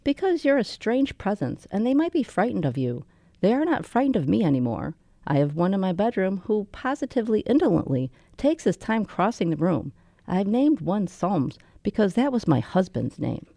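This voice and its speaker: A woman acts out a lonely, eccentric old widow for a radio play. Her voice is creaky and soft-edged, rising and falling freely as she chats with genteel, hospitable politeness. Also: mid-range voice, big pitch swings, moderate pace, no room sound, studio quality. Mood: hospitable, confiding. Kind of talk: radio drama acting.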